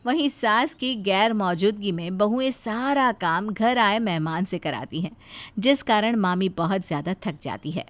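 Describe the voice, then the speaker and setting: A woman's voice: medium pitch, lively intonation, unhurried. A woman narrating a scripted moral story as its storyteller.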